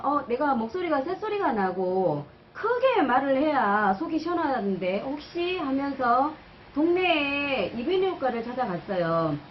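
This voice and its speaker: A woman's voice: fairly high pitch, highs cut off, a little hiss or hum. A woman channel host giving an explanatory personal talk in an interview-style format.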